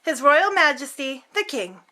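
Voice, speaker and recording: A woman's voice: sits high, big pitch swings, measured pace, pushed and bright, exaggerated voice. A woman voicing doubtful storybook villagers making a proclamation with hesitant asides. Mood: hopeful, doubtful.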